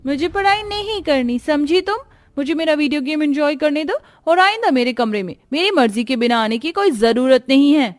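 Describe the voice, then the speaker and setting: Woman doing a snotty spoiled cartoon kid, really high, talks back, music behind. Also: expressive, normal speed. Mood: irritated, defiant. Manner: bratty, insolent. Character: rude, spoiled rich child, defiant and snooty. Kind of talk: Hindi cartoon moral story voice acting.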